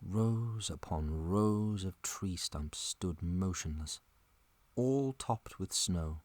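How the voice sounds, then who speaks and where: A man narrating a scripted family adventure story in a dramatic, measured storytelling manner. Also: lowish voice, fairly bright tone, dry recording.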